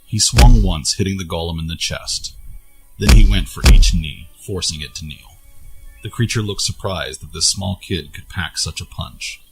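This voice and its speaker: A man's voice: deep voice, normal speed, over music, poor audio. A man reading scripted fantasy story narration as the narrator of an audio tale.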